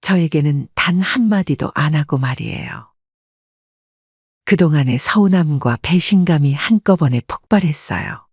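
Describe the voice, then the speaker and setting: A woman voices a sorrowful grandmother in her late sixties telling her story. The voice is husky, recorded close and dry with a slightly dull tone. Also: sits low, expressive, moderate pace, a little mellow, dry recording, exaggerated voice. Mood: wistful, aggrieved. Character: sorrowful 68-year-old widowed restaurant-owner grandmother. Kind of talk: scripted first-person story narration.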